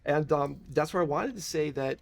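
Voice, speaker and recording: A man's voice: fairly high pitch, moderate pace, vocal fry, a crowd in the background. A man interviewing a vendor at a conference, friendly and rambling, with plenty of restarts.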